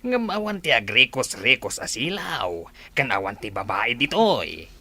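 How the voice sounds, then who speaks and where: A man's voice: medium pitch, sing-song, a little slow, pushed and bright, exaggerated voice. A man with an Ilocano accent playing a restless, vengeful robber boss in a radio drama.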